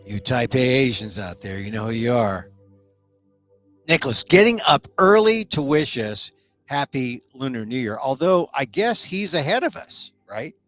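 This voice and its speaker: Animated chatty male talk-show host. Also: medium pitch, moderate pace, faint music behind. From United States. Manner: animated, chatty, rambling with restarts. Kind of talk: casual solo talk show.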